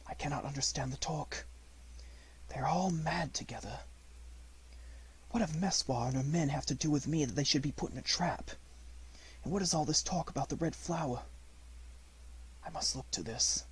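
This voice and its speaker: A man's voice: a little high, normal speed, long pauses, slightly rough, rough recording. A man voicing a bold boy raised by wolves, giving terse, archaic commands in a storybook. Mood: puzzled, resolute.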